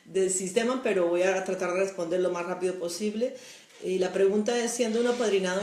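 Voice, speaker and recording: A woman's voice: mid-range voice, darkish tone. A Colombian-born woman working as an immigration lawyer, answering viewer questions in a live stream, with frequent hesitations. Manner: hesitant, many fillers, explanatory. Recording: echoey room.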